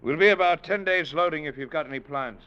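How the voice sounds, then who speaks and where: An actor playing a genial but firm older sea captain in an old radio drama, avuncular and authoritative. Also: male voice, fairly high pitch, fast talker, sharp tone, dry recording. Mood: firm, wry. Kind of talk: mid-20th-century radio drama acting. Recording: dull vintage radio recording.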